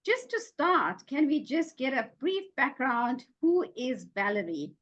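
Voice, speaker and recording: Upbeat female host, high and animated, dull lo-fi recording. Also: unhurried. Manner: upbeat, enthusiastic, polished. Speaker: show host. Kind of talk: interview host introduction. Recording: slightly dull lo-fi sound.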